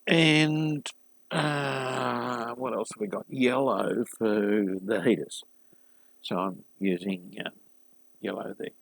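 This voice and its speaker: A man giving a casual, unscripted hands-on repair walkthrough, thinking aloud haltingly and addressing viewers informally as a hobbyist host. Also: medium pitch, vocal fry, no room sound. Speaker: hobbyist host of a vintage radio restoration series.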